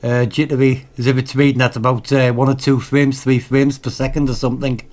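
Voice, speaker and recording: A man talking off the cuff as a radio hobbyist reviewing his gear, in a halting, repetitive, enthusiastic way. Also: mid-range voice, level tone, a little mellow. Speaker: radio hobbyist presenting own channel. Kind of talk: casual off-the-cuff equipment review.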